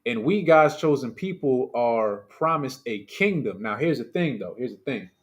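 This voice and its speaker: A man delivering a casual sermon over a livestream, talking alone into his mic. His pitch sits in an ordinary middle range. He speaks loosely and chattily, full of asides, and stresses his points with rhetorical questions aimed at the people watching. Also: animated, moderate pace. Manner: conversational and emphatic, with rhetorical questions. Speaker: preacher leading a livestreamed service. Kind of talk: informal streamed sermon.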